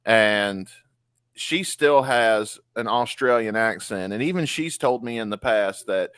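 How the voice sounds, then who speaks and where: A man's voice: lowish voice, fairly bright tone, clean tone, no echo. A man from Mississippi with a Southern accent, hosting a podcast interview in a chatty, laughing manner. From Tupelo, Mississippi. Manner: chatty, laughs often, drops into quick impressions. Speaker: podcast host. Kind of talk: casual interview chat.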